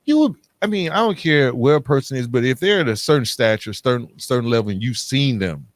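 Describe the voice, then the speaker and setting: Man, American accent, podcast host, very animated intonation, medium pitch, dry close sound, informal and affirming. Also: brisk, no echo. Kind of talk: casual chat interview.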